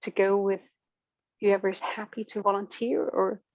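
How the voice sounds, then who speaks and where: A woman's voice: medium pitch, flat delivery, measured pace, dry recording. A woman presents an online workshop, talking in an easy conversational way with frequent ums. The sound is dry and a little dull, like a video call. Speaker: trainer leading a workshop.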